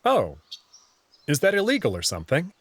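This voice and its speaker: A man's voice: on the high side, wildly swooping pitch, moderate pace, breathy edge, dry recording. A man reading first-person visual novel narration and dialogue as the story's protagonist.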